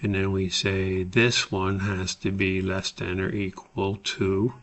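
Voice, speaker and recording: A man giving an unscripted, step-by-step tutorial as an instructor, explaining in a halting, methodical way. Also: deep voice, little pitch movement, a bit bright. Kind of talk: screen-recorded spreadsheet tutorial, unscripted explanation.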